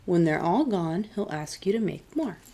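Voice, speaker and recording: A woman reading aloud as a children's storytime host, deliberate and expressive in her delivery. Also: lowish voice. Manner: clear, deliberate picture-book reading with expressive emphasis. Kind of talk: read-aloud storytime narration.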